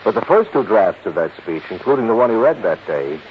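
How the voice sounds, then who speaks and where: An American actor playing a fussy, worried, middle-aged insurance manager in a 1950s radio drama, explaining things emphatically. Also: male voice, lowish voice, very animated, fairly quick. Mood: insistent, explaining. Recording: muffled, hissy 1950s radio recording.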